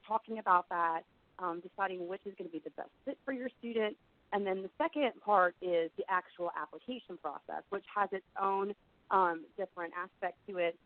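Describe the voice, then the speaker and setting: A woman's voice: mid-range voice, dry recording. A middle-aged woman, slightly froggy from being under the weather, giving a conversational, explanatory webinar presentation as an admissions director. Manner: conversational, explanatory, frequent "you know". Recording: phone-like narrow band, dry.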